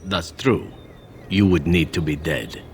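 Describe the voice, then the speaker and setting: An actor playing a suave, taunting elven assassin in a fantasy video game. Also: male voice, deep voice, measured pace, vocal fry, slight background noise. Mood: calm menace. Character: suave male elven assassin turning on his companion.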